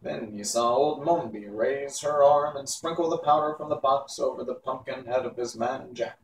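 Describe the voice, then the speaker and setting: A man's voice: mid-range voice, moderate pace. Man reading a story in an echoey room. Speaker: storybook reader. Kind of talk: reading a children's novel aloud. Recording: echoey room, distant mic, faint hiss.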